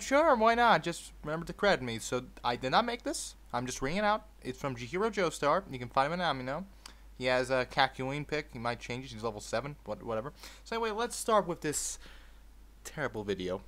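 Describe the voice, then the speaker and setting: A man's voice: fairly high pitch, fairly bright tone. A man in an informal, sweary manner, hosting a video and moving between casual commentary and storytelling. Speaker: video host reading fan fiction aloud.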